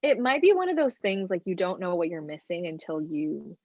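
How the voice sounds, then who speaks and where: A woman's voice: fairly low pitch, big pitch swings, fairly quick, darkish tone, dry recording, muffled recording. A woman from China, a nutritionist guest on a podcast, explaining things thoughtfully in casual conversation.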